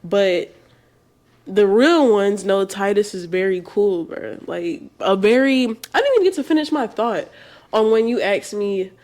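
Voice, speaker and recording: A woman in her twenties chatting casually with her partner, telling stories in slangy, animated talk with frequent laughs. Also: mid-range voice, unhurried. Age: 20s. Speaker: co-host. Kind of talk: casual couple chat.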